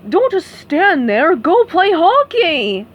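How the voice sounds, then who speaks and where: A woman's voice: high voice, animated, quick pace, muffled recording. A woman doing a bellowing greedy crab boss. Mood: angry. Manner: bellowing, bossy. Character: greedy, loud male crab restaurant boss.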